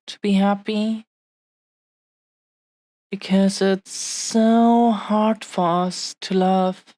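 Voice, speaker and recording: A woman playing a tender, possessive dragon-blooded fiancée in an intimate romantic audio roleplay, speaking haltingly with lingering, breathy pauses. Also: medium pitch, a little mellow, dry recording. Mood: confessional, vulnerable.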